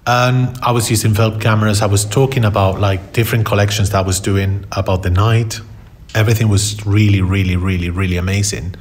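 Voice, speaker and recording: Low-voiced Spanish man telling his story. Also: steady tone, fairly quick. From Spain. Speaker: interview guest, photographer. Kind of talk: personal storytelling in conversation.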